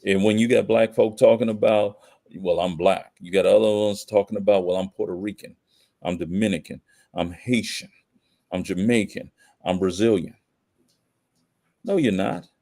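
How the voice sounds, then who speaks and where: A man's voice: fairly low pitch, normal speed, gravelly, dark tone. An American man giving opinionated commentary in conversation as a podcast guest, emphatic and slangy, often posing rhetorical questions.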